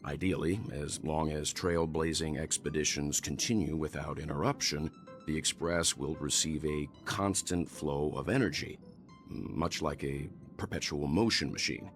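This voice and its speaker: A wise older navigator from a video game, with an extremely deep voice. He speaks in a measured, thoughtful and articulate way, with faint music underneath. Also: steady tone. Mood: grave, explaining.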